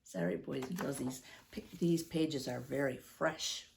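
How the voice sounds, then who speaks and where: A woman, speaking deliberately and expressively to young children as a story-time teacher. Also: rumbling low, steady tone, creaky, darkish tone, a little hiss or hum. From United States, Midwest.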